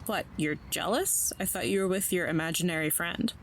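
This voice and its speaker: Audio drama role: woman as an awkward teen girl, lowish voice, dry sarcasm, faint noise behind. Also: brisk, lightly acted, rough recording. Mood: wry, deadpan. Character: wry, awkward schoolgirl, teenage. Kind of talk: naturalistic audio drama acting.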